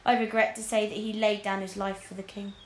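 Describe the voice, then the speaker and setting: A woman reading a children's book aloud as scripted, expressive narration. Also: mid-range voice, a little fast. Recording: roomy, echoing indoor sound.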